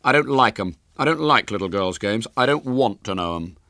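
A man's voice: fairly low pitch, lively intonation, normal speed, bright, forceful tone, no room sound, clean studio sound, pitched above the performer's own voice. A man voicing a proud, scornful eleven-year-old boy in a storybook, blunt and stammering when cornered.

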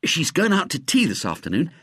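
A man's voice: a little high, huge pitch swings, fairly quick, fairly bright tone, husky, no room sound, studio quality, voice pushed up, heavily acted. A man voicing a gloomy, doubtful schoolboy sidekick. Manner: glum and unsure.

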